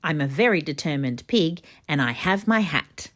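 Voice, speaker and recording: A proud little boy piglet from a children's storybook, acted out by a woman. He is determined and excitable, and his pitch leaps around in animated exclamations. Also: normal speed. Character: determined excitable little male piglet who loves hats. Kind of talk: children's storybook read-aloud.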